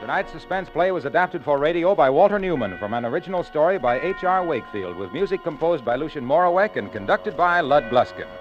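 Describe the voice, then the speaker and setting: A man's voice: fairly high pitch, expressive, fairly quick, few pauses. A man announcing and reading sponsor copy on a 1940s radio broadcast, polished and buoyant. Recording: dull old radio broadcast with music.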